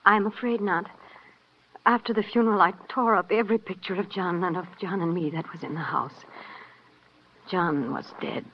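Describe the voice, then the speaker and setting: Low-voiced guarded widow played by a woman. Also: normal speed, lightly acted. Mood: defensive. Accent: American. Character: flustered, guarded, mature recent widow. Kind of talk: 1950s radio drama acting. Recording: muffled old radio recording, faint noise.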